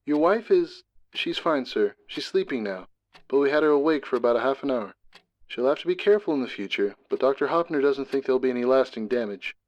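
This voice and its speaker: A man playing a polite, halting male hospital caller in a dramatic reading, heard through a telephone filter. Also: medium pitch, lively intonation. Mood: polite, hesitant. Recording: muffled phone-like sound, music underneath.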